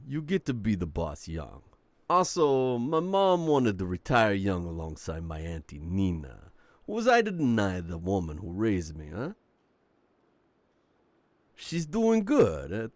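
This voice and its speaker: A man's voice: fairly low pitch, huge pitch swings, a little mellow, no room sound. A man playing a charming, cocky young mafia boss in a romantic audio roleplay, teasing and playful as he talks. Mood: smug, affable. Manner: playful, teasing, smooth-talking with drawn-out words.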